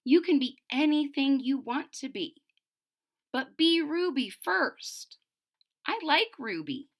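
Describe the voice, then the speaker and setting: A gentle, encouraging woman teacher from a picture book, as a woman reader puts her on. Her voice is high and very animated in pitch, with an unhurried pace. She sounds kind and patient with small children. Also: exaggerated voice. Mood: gently encouraging.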